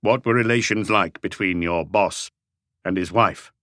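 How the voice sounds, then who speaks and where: A man voicing a calm, probing police inspector who asks short, measured questions in an audiobook mystery. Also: low-pitched, dry recording, clean studio sound. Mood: probing, patient.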